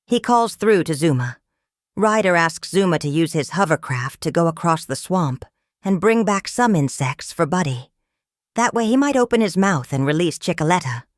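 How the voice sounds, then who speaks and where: A woman narrates a storybook for young children. Her voice sits a little low and she reads expressively. The recording is dry, with very little room sound. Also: animated, normal speed, dry recording. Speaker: storybook reader. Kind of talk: scripted read-aloud narration of a children's story.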